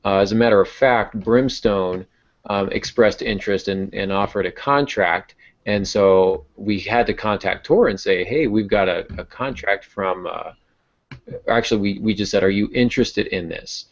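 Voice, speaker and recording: Low-voiced man chatting, dull lo-fi audio. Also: normal speed. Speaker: novelist and podcast co-host. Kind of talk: casual chat, storytelling.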